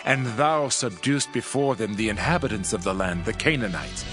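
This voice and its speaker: A man playing a solemn worshipper recounting history in prayer, in a formal, measured dramatized scripture reading. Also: fairly low pitch, expressive, natural voice. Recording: studio reading under prominent music.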